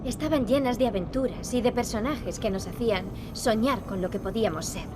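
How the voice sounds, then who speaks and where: A woman's voice: on the high side, brisk, background noise, lightly acted. A woman with a Castilian Spanish accent playing an earnest, determined young princess in a video game. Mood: solemn, earnest. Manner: earnest, serious. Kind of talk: video game acting, European Spanish dub.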